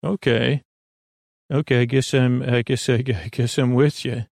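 Meandering man with a creaky voice. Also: mid-range voice, steady tone, a little mellow, no echo, clean studio sound. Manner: meandering, halting, trails off into tangents and self-questioning. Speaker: podcast host. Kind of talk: rambling monologue for a sleep podcast.

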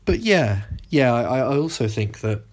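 A man's voice: mid-range voice, very animated, measured pace, a little mellow. A man co-hosting a podcast, chatting informally about video games and qualifying his opinions as he goes. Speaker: podcast host, game reviewer.

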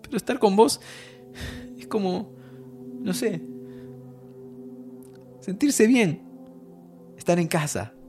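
An actor with an Argentine accent playing a warm young man who says goodbye to his friend, laughing softly and comforting him. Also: sits high, lively intonation, takes it slowly, pauses often, soft background music, full character voice. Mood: tender. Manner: affectionate, laughing, soothing. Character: warm young male time traveler saying goodbye. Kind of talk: audio drama acting.

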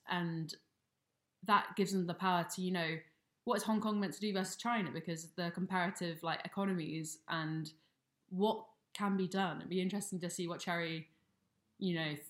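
A young woman with a lowish voice, co-hosting a podcast and thinking aloud. Her speech is full of fillers and light musing, and her voice echoes in a reverberant room. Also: fairly even intonation, a little fast, a bit bright. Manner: thoughtful and musing, with frequent fillers. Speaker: podcast co-host. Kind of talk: casual conversational interview.

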